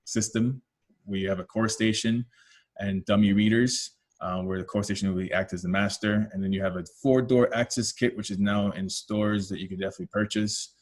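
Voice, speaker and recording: A man's voice: on the low side, fairly even intonation, a little fast. A man with a Canadian accent presenting a product webinar as a technical lead, explaining step by step with frequent fillers and self-corrections.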